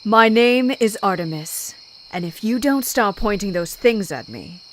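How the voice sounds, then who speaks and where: A woman's voice: medium pitch, very animated, measured pace, fairly bright tone, slight background noise. An actress playing a proud, threatening warrior woman in a motion comic, with a commanding manner. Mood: imperious, threatening. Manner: imperious, commanding.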